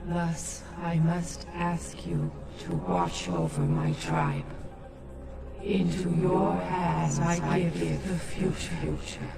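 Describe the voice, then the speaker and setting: Echoing, stately alien matriarch. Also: female voice, sits low, expressive, very slow, creaky, exaggerated voice. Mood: grateful, solemn. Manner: formal, stately. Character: ancient, dignified alien matriarch, solemn and devoted. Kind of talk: dramatic video game acting. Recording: echoey, distant, loud music behind.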